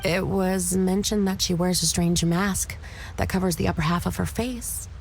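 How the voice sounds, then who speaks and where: Female officer for a game: lowish, creaky voice, loyal, formal, firm. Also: steady tone, normal speed, a little mellow, a little hiss or hum. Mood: firm, earnest. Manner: formal, deferential. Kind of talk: English video game voice acting.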